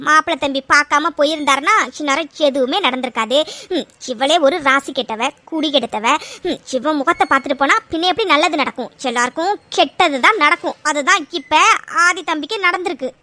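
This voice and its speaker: A woman's voice: high-pitched, brisk, bright, forceful tone, no echo. A woman voicing a spiteful, superstitious old grandmother, scornful and accusing, in a melodramatic audio serial.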